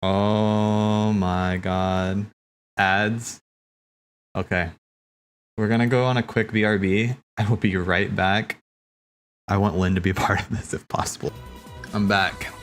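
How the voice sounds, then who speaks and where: A man hosting a livestream, commenting casually on game cutscenes in an excitable, rambling, slangy way. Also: on the low side, slow pace, pauses often. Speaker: livestream host. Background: game soundtrack music.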